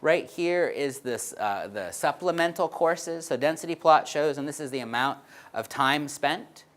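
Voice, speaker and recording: A man's voice: on the high side, a little slow, fairly bright tone. A man giving a conference talk on research findings, explaining charts with frequent fillers and "right?" checks. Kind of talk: conference presentation.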